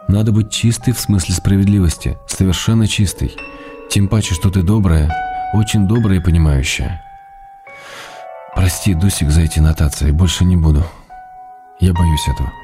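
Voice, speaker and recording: A male actor as an ailing writer and husband with a low voice. He reads his letters for a radio play in a measured, intimate manner, affectionate and gently reproachful. Music plays prominently behind him. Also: lightly acted. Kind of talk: radio drama reading of personal letters.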